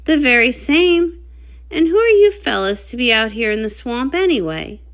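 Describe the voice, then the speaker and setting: A woman's voice: a little high, very animated, normal speed, a bit bright. A woman voicing a confident, plain-spoken mare who heals in the swamp, with a folksy manner, in a comic read-along. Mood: curious.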